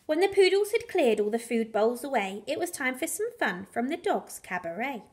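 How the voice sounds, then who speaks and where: A woman reading a children's bedtime story aloud in an animated, expressive way with dramatic emphasis on the rhymes. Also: high-pitched, moderate pace. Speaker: primary school teacher reading a bedtime story.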